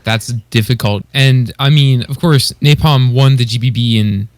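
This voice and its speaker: A man's voice: medium pitch, normal speed, no room sound. A man co-hosting a podcast in casual conversation, agreeable and a little hesitant in how he talks. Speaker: podcast co-host. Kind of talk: casual podcast discussion of beatboxing competitors.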